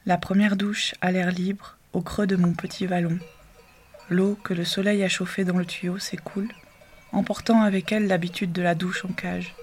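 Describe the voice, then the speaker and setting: A woman reciting a poetic text in a calm, measured way, sometimes dropping to a hush. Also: on the low side. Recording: noisy background.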